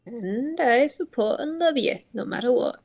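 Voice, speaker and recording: A woman voicing a doting, theatrical cartoon toon parent with a halting, emotional delivery. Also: a little high, expressive, highs cut off. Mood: tearful, resigned.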